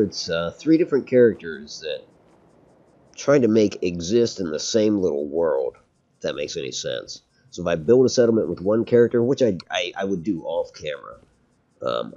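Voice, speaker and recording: Deep-voiced man rambling over gameplay. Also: dark tone, highs cut off. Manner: rambling, laughs often. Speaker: game streamer. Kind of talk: casual gameplay chat.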